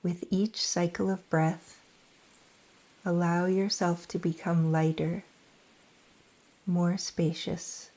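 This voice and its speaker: Calm woman leading yoga nidra, low-pitched and unhurried, gentle pauses. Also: low-quality recording. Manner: slow, soft, soothing delivery with long pauses. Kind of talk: guided meditation.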